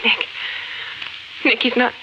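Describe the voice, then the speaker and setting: An actress playing a distraught, tender young wife in a 1950s radio drama, emotional and pleading. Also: female voice, slow pace, close to an everyday voice. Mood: anguished. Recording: noisy, muffled 1950s radio recording.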